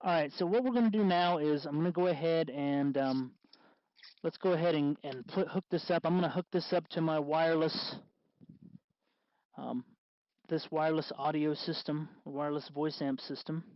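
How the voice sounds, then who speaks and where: A man hosting a casual hands-on DIY tutorial, explaining in a halting, unscripted way, with slightly muffled sound. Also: on the high side, no echo. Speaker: host of DIY electronics tutorial.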